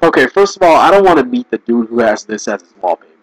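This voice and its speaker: A man's voice: medium pitch, very animated, fast, no room sound, rough recording. Guy chatting casually over a video, voice kinda going, bounces up and down a lot, dry close sound. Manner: rambling, full of "like" and restarts; jokey, laughs often. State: losing his voice. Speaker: video commentator. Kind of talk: casual screen commentary.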